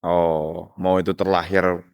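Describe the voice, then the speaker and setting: A man's voice: low-pitched, level tone, slow pace, creaky, smooth, no echo. A man with an Indonesian accent hosting a casual interview, putting points and questions to his guest. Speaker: podcast host.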